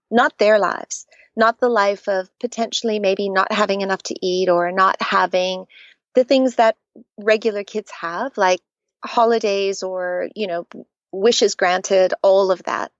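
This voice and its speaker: A woman's voice: medium pitch, no room sound, lo-fi sound. Middle-aged Canadian woman telling a story. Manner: warm storytelling, earnest and animated. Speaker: guest author. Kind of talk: conversational podcast interview.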